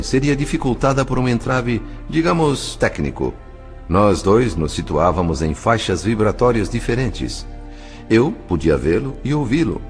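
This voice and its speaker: A Brazilian man playing a composed spirit narrator in an audio novel, speaking in a formal, articulate, measured way. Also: fairly low pitch. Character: composed male spirit narrator recounting his undercover mission. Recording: music behind the voice. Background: music.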